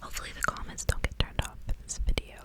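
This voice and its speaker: Female ASMR host, fully whispered delivery, intimate and casual, lingers on repeated words. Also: fast talker. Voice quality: breathy unvoiced whisper. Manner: repeats words and short phrases as triggers. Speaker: ASMR video creator and reader. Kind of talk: ASMR, intimate casual chat and reading aloud.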